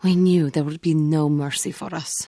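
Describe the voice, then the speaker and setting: Solemn alien cat-woman warrior, low husky voice, formal measured speech, haunted and quick-tempered, video game dialogue, dry recording. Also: steady tone, mellow, no room sound. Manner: formal, measured, earnest speech with guarded intensity.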